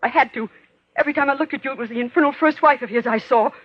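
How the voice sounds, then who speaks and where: An actress playing a guilt-ridden, murderous stepmother trapped in a nightmare, melodramatic and halting, in old-time radio horror style. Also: high voice. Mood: guilty, defiant. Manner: melodramatic, halting fragments, from whispers to shrieks. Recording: dull, lo-fi old radio recording with noise.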